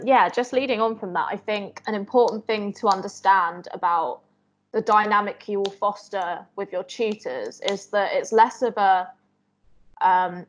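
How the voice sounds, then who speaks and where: A young woman history student speaking on a video call panel from her bedroom, earnest, with many "like" fillers. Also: medium pitch. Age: young adult. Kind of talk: casual chat on video call. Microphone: laptop webcam on video call.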